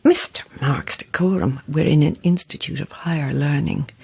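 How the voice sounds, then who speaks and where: An actress in an audio drama playing a sceptical middle-aged woman novelist with a husky voice and wry, deadpan wit. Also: low-pitched, normal speed, exaggerated voice. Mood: wry, affectionate. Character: sceptical, dry-witted mature female horror novelist.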